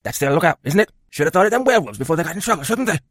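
A man's voice: on the high side, big pitch swings, very fast, fairly bright tone, no echo, studio quality. A man playing a cruel, leering school caretaker in an audiobook, nasty, gloating and menacing in manner. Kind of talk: audiobook character acting.

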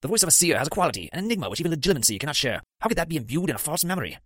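A man's voice: a little high, very animated, a bit bright, no room sound, pitched above the performer's own voice. A man voicing a guilt-ridden male potions master who speaks formally and in pain. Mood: grave. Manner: formal, measured, pained.

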